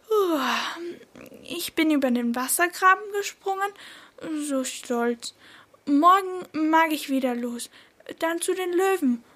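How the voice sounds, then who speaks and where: A woman's voice: extremely high pitch, a bit bright. A woman playing an eager, childlike little boy squirrel in a children's audio drama, a bit timid and full of wonder.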